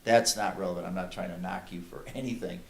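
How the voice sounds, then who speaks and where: A man's voice: deep voice, fairly even intonation, quick pace, vocal fry, darkish tone. A man at a public meeting, speaking bluntly and with joking complaints.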